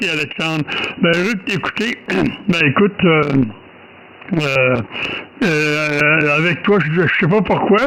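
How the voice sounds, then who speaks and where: Halting ham radio man, fairly high voice. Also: a bit bright, rough recording. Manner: halting, repeats words, many fillers. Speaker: radio amateur operator. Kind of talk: casual radio chat. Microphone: desk microphone.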